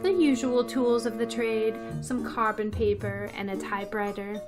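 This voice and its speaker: An actress playing a wry, quick-witted English teacher in a comedic radio play, dry and wisecracking. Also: female voice, fairly high pitch, fairly even intonation, music in the background, natural voice. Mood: friendly, wry. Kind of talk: comedic old-time radio play acting and narration.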